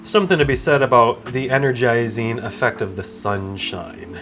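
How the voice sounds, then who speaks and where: A man talks in a medium-pitched voice that sounds a little stuffed up and sleepy. He rambles easily through a casual review, interrupting himself and drifting into side thoughts. Guitar music plays behind him. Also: normal speed. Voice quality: slightly congested. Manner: easygoing, digressive, self-interrupting. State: groggy from waking up, with sinus congestion. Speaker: product reviewer and video host.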